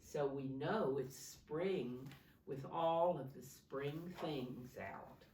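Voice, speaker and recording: Slow, expressive woman reading to preschoolers. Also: rumbling low, a little hiss or hum. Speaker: preschool teacher reading aloud. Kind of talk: expressive storybook reading with simple explanations for young children. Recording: roomy echo, distant microphone.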